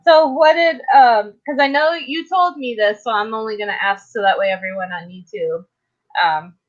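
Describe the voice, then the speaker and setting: High-voiced woman on dull lo-fi audio. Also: moderate pace. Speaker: interviewer. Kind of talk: casual interview chat.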